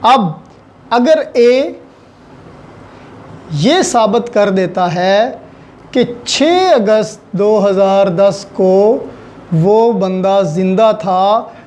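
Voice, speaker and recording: A law lecturer with a Pakistani accent explains with examples in deliberate, halting phrases, mixing in English legal terms. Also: medium pitch, a little mellow.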